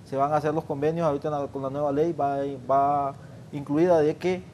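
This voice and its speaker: A man's voice: a little high, flat delivery, fairly quick, mellow, slight background noise. Earnest official making his case. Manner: earnest, explanatory, repeats himself. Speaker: traffic official guest. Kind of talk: interview, arguing a point.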